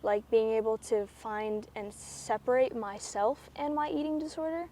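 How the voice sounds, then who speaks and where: A woman's voice: on the high side, steady tone, normal speed, warm tone, a little hiss or hum. A teenage girl answering interview questions candidly and reflectively, with many "like" fillers. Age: teens. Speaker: student athlete guest. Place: school campus, indoors.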